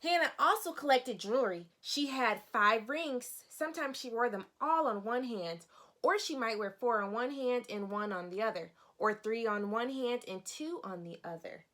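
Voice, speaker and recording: Woman, slightly high voice, teacher-style storybook read-aloud, instructive, aimed at young children, questions to listeners. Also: expressive, moderate pace, a bit bright. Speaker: teacher reading a picture book aloud.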